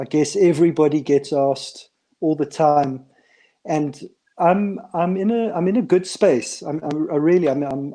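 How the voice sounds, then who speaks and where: A man's voice: a little high, mellow. A man being interviewed as a business mentor, speaking in a friendly way and repeating words as he starts sentences. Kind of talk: casual online interview.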